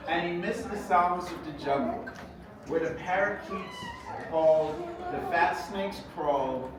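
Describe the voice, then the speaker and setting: A man reads a children's story aloud as its author, theatrically, with expressive delivery and dramatic pauses. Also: fairly high pitch, crowd noise. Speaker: author reading own book. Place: echoey indoor event space. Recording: echoey room, distant mic, noisy background.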